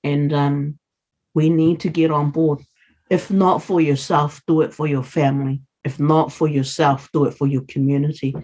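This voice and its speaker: A woman's voice: low-pitched, little pitch movement, normal speed, mellow. A woman who speaks English as a second language, talking as a podcast guest in an earnest, encouraging way. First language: non-English first language. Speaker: podcast guest, community leader. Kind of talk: casual interview talk.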